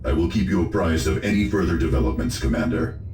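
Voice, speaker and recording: A man's voice: sits low, fast, noisy background. A man playing a solemn space marine advisor in a video game, reporting in a formal, grave way. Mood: grave, concerned. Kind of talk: dramatic video game acting. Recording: echoing voice with music behind.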